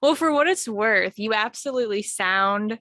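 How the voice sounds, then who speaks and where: A young woman hosting a casual podcast, upbeat and encouraging, chatting warmly with her co-host. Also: mid-range voice, lively intonation, normal speed, no echo, clean studio sound.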